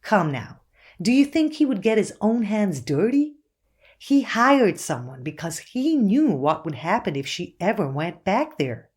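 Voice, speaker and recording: A woman's voice: medium pitch, very animated, measured pace, darkish tone, husky. An actress playing a certain, accusing woman interviewed on a true-crime podcast, explaining herself in an assured, pointed way. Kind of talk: acted documentary interview.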